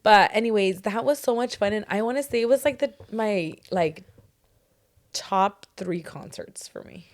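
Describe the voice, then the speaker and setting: A young woman co-hosting a podcast in casual chat, talking in a hoarse voice that is still recovering, full of "like" and "dude.". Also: medium pitch, normal speed. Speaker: podcast co-host.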